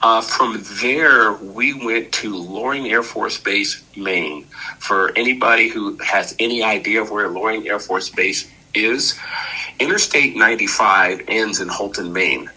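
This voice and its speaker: A man in his sixties, a podcast guest, recounting his childhood in a measured, reflective storytelling manner. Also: on the low side, bright, forceful tone, breathy edge, rough recording. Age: 60s. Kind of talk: personal storytelling interview.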